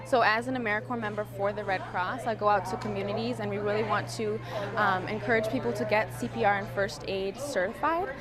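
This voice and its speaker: A woman's voice: medium pitch, fairly even intonation, fairly quick, over loud music. A woman volunteer giving an explanatory answer in a live interview. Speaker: Red Cross volunteer member being interviewed.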